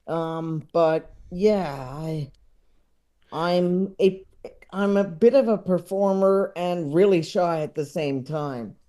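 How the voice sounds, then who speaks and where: Male podcast guest, unhurried and mellow, rambling and self-deprecating in casual conversation. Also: high-pitched. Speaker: podcast guest, comedy writer and filmmaker. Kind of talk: casual interview chat.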